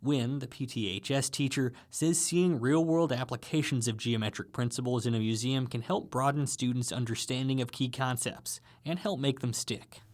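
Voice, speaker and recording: A man's voice: mid-range voice, steady tone, moderate pace, hardly any pauses. A man narrating a scripted radio feature as a reporter.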